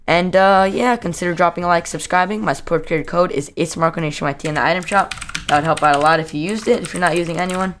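A young man narrating a casual software tutorial as the host of a video. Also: on the high side, fast, slight background noise.

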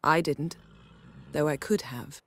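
A woman playing a poised, sharp-tongued sorceress in a video game, speaking in a measured, formal, sardonic way. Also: sits low, full character voice. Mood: haughty, wry. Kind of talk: video game cutscene acting.

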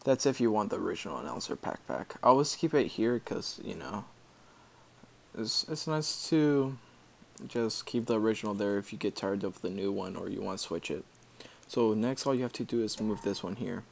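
A man giving a casual screen-recorded software tutorial, explaining step by step in an informal, halting way. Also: lowish voice, animated, gravelly. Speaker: tutorial maker.

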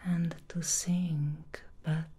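A woman's voice: sits low, level tone, mellow, slightly rough, full character voice. A woman whispering as an alluring demon stylist. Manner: slow, teasing and alluring. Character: seductive female demon hair stylist from hell. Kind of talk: ASMR roleplay. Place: indoor studio set. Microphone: close ASMR microphone.

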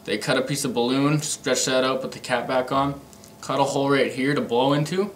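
A man's voice: medium pitch, fairly quick. Young man, casual, on a noisy recording. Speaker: hobbyist demonstrating a homemade gadget. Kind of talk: casual show-and-tell. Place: indoor room with a pool table. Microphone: camera mic an arm's length or more away. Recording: noisy amateur camera microphone.

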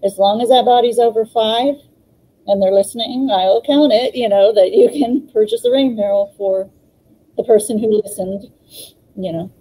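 A woman's voice: a little high, normal speed, warm tone, rough recording. A woman in her fifties, co-hosting a live online garden clinic over a video call, chatting casually with frequent ums and little laughs. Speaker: co-host, extension educator. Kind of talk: casual live-stream chat.

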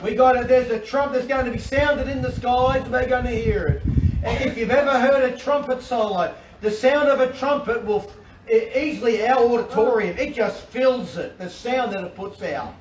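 A middle-aged man with an Australian accent, preaching an animated sermon from a covered outdoor deck, with repetitions and restarts. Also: extremely high pitch, moderate pace, a little hiss or hum. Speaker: pastor. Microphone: far camera. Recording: roomy and distant with faint ambience.

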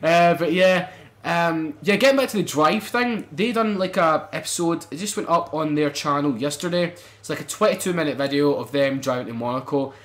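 Excitable young man, let's play. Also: a little high, moderate pace. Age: young adult. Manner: excitable, swears, bursts into loud exclamations. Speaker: gaming channel host.

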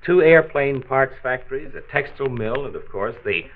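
A man's voice: mid-range voice, expressive, moderate pace, slight background noise, lightly acted. A man playing a patient, avuncular county health doctor who explains things in a 1940s radio drama. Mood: friendly, explanatory. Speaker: health commissioner. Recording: tinny band-limited 1940s transcription disc.

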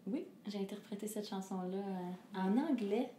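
A middle-aged Québécois woman talks as a seated interview guest, earnest and reflective, often pausing to find her words. Her voice sits at an ordinary pitch for a woman. The living room around her is echoey, with a faint hiss underneath. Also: mellow. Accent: Québécois French. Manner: earnest and reflective, with frequent hesitations and laughter. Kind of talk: candid personal conversation. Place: living room with a fireplace, indoors.

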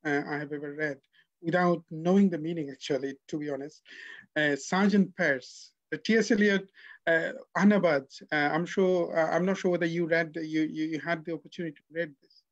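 A man in his forties hosting a poetry session, effusive in his praise and halting, with many fillers. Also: fairly high pitch, steady tone, muffled recording. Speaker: host. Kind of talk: interview hosting. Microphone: video call.

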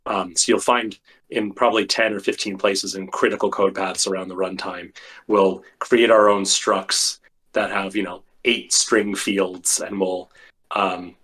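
A man, a software engineer guest, explaining technical details thoughtfully with many fillers, his voice getting tired and worn. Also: low voice, little pitch movement, measured pace. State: losing his voice. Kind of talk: technical interview talk.

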